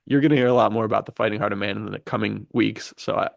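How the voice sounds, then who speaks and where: A man's voice: lowish voice, steady tone, rapid-fire, creaky, no room sound, clean studio sound. A man co-hosting a podcast in casual chat, speaking in an earnest, informal, enthusiastic way. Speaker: podcast co-host and fiction writer.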